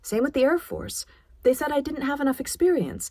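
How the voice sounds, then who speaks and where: A woman's voice: high-pitched, very animated, brisk, warm tone, dry recording, pitched above the performer's own voice. A woman voicing an earnest, insistent young army nurse, eager and defiant, in an audiobook. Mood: defensive.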